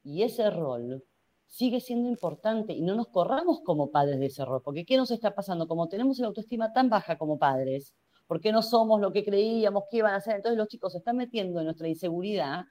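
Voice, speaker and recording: A woman's voice: medium pitch, lively intonation, brisk, mellow. A woman psychologist with a Rioplatense Spanish accent, answering in a radio interview and talking through ideas by asking herself rhetorical questions. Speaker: psychologist guest.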